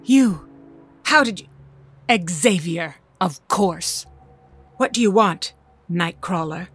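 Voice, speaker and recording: A woman's voice: lowish voice, expressive, slow, fairly bright tone, slightly rough, soft background music, full character voice. A woman playing a bitter, commanding mutant villain leader in an audio drama, curt and scornful. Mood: wary, scornful.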